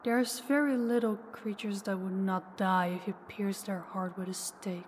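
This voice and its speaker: A woman playing an ancient, powerful vampire in an audio roleplay, slow, deliberate and condescending, with calm menace toward the listener. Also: medium pitch, close to an everyday voice. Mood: mocking, unimpressed. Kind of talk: intimate scripted audio roleplay addressed to listener. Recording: long hall reverb, faint background noise.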